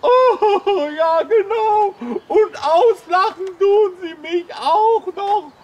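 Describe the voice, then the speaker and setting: A man voicing a tearful, bullied chubby crocodile hand puppet in a children's puppet show, whiny and drawn-out. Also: very high voice, dull audio, slight background noise, voice pushed up, full character voice.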